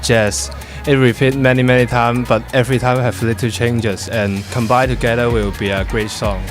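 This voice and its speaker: A man answers interview questions in non-native English. His mid-range voice moves haltingly as he searches for words. Music plays clearly behind him. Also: mid-range voice, flat delivery. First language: not English. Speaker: science fair finalist being interviewed.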